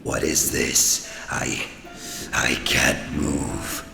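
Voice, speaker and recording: A man playing a menacing, mocking devil in a radio play, speaking in slow, archaic, imperious phrases with a gravelly voice. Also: a bass voice, steady tone, exaggerated voice. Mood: outraged. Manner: slow, deliberate, archaic grand speech. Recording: echoing voice under loud music and rain.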